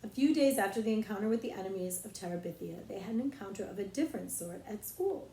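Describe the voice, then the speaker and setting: A woman reading a children's novel aloud as expressive, dramatic narration. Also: medium pitch, fast, a little hiss or hum. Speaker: audiobook reader, librarian. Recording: roomy echo.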